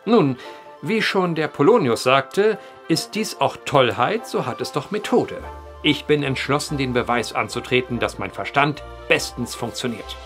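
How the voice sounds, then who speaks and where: Male, methodical eccentric gentleman of letters for radio play; formal, precise, determined, mid pitch, faint music underneath. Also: expressive, measured pace. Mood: resolute. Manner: formal, precise, old-fashioned eloquent diction. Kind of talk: German audio drama acting.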